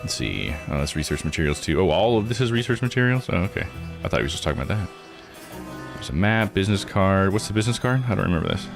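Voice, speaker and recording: Low-voiced man chatting casually on stream. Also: sing-song, fast talker, vocal fry, over music. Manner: chatty, informal, rambling. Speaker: live streamer and host. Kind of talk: casual game playthrough commentary.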